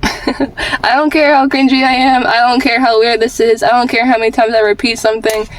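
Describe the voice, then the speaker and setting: A woman's voice: a little high, little pitch movement, brisk, rough recording. A twenty-year-old woman vlogging with candid personal advice in an informal, rambling, earnest way, repeating phrases for emphasis.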